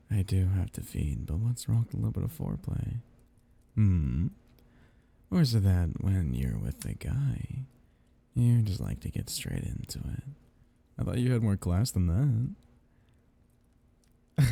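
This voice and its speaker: A man playing a seductive, teasing, menacing vampire noble in an intimate ASMR roleplay, in a breathy, gravelly murmur. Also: exaggerated voice. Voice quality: husky, breathy, low murmur with gravelly edges. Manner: slow, teasing drawl with soft whispered dips.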